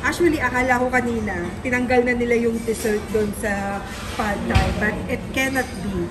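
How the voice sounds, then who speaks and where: A woman's voice: on the high side, steady tone, brisk, background noise. A woman in her thirties with a Filipino accent, a native Tagalog speaker, giving a casual food review as a vlogger in a restaurant. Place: restaurant dining room. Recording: loud music behind voice. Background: music.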